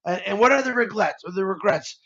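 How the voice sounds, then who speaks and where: A man's voice: sits high, lively intonation, brisk, bright, forceful tone, lo-fi sound. A man in his fifties, co-hosting a live stream, talking in a heartfelt, rambling way with lots of restarts. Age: 50s. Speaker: co-host of a live show. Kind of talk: casual live-stream talk.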